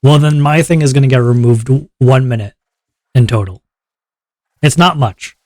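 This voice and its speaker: A man's voice: normal speed, dry recording, studio quality. A man streaming live, explaining his event's rules to chat in a casual, upbeat way and checking in with viewers often. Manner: relaxed lower voice after a viewer redeem.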